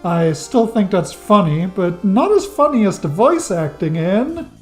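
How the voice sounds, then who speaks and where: A man's voice: sits high, lively intonation, a little slow, soft background music. Wry enthusiastic non-native man reviewer. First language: not English. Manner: wry, enthusiastic, dry jokes. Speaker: game reviewer. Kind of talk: scripted video-essay narration.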